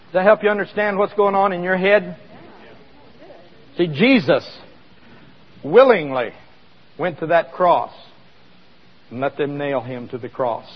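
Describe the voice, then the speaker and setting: A man's voice: fairly high pitch, a little slow, long pauses, in a large hall, lo-fi sound. A man preaching a sermon in a conversational way, asking the congregation questions as he explains scripture. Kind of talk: sermon with live demonstration.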